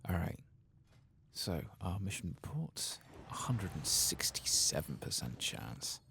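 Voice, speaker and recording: A man giving soft-spoken ASMR commentary on a video game, in a breathy voice that often drops to a whisper. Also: low-pitched, very animated, unhurried, a little hiss or hum.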